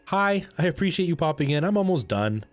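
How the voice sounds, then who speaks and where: A man's voice: fairly high pitch, fairly quick, dry recording. Chatty Canadian-raised man streaming over music. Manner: chatty, expressive, repeats himself for emphasis. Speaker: game streamer. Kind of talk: casual live stream chat while gaming. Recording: music playing behind the voice.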